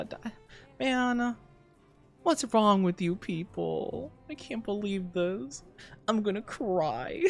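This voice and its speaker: A man's voice: high voice, fairly bright tone, clean tone. A man streaming live, chatting casually with viewers in a playful, mock-offended way, laughing often, his voice wearing thin. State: losing his voice. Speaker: VTuber streamer.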